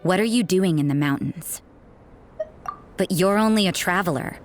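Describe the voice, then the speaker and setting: A woman plays a watchful, blunt nun from a video game. Her voice sits low and she speaks with dry, unhurried sarcasm, aloof and cynical. Music plays clearly behind her. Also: lightly acted. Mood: dry, dismissive. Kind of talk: game voice acting. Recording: background music under the voice.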